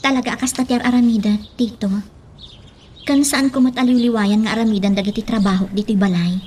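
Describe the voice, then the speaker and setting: An anxious, dutiful young woman in a radio drama, played by a woman. Her voice is fairly high, with faint ambient noise behind it. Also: fairly even intonation, fast talker, a little mellow, lightly acted.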